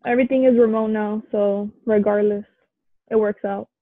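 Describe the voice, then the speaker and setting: A woman's voice: mid-range voice, little pitch movement, moderate pace, no room sound. A young woman from Cuba, a student interviewer, chatting casually and often saying "like". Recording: tinny, dry phone-like line.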